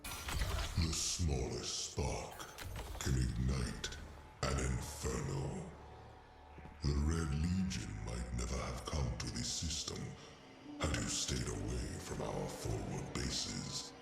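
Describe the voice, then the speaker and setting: An actor playing a huge, pompous alien emperor in a video game, savoring words with silky menace and gravelly grandeur. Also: male voice, rumbling low, takes it slowly, exaggerated voice. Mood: taunting. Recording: music behind the voice.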